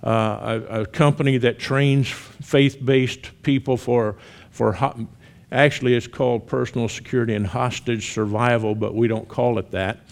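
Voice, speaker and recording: Older Southern male missionary, sixties, relaxed conversational church talk with light humor. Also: medium pitch, normal speed, creaky. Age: 60s. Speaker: missionary guest speaker.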